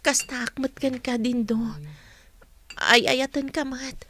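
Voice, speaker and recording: An actress in a radio drama playing a young woman in love, speaking softly and earnestly. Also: sits high, flat delivery, measured pace, a little hiss or hum, full character voice. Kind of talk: broadcast radio drama acting.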